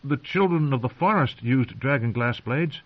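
A man voicing a timid, awkward young man who stammers and squeaks. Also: lively intonation, normal speed, lightly acted. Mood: nervous explaining. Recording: muffled lo-fi recording.

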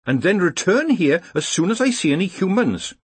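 A man's voice: high voice, sing-song, normal speed, breathy edge, no echo, pitched above the performer's own voice, heavily acted. A man voicing a fussy middle-aged wizard professor who sounds prim, doubtful and easily offended. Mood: dutiful, hesitant.

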